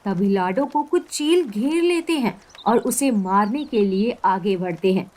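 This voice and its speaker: A woman's voice: medium pitch, animated, a little slow, darkish tone. A woman narrating a scripted children's story.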